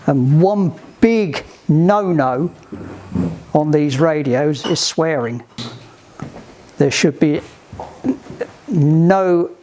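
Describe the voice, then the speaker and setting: A man giving hands-on instruction to a group in a halting, deliberate, emphatic way, on an amateur recording. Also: on the high side, darkish tone, slight background noise. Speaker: sailing club instructor giving radio training.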